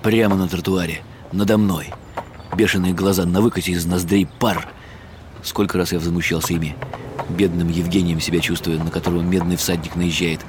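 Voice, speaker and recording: A man's voice: low-pitched, flat delivery, a little fast, noisy background, close to an everyday voice. An actor playing a weary, wry middle-aged writer who narrates his own story in ironic inner monologue for a radio drama. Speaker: narrator and lead character.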